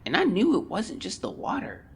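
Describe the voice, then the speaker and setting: A man's voice: high-pitched, huge pitch swings, normal speed, slightly rough, exaggerated voice. A man playing a haunted teenage boy explorer, solemnly and theatrically dictating a fearful journal in an audio drama. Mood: dread, resolve. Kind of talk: dramatic first-person journal narration.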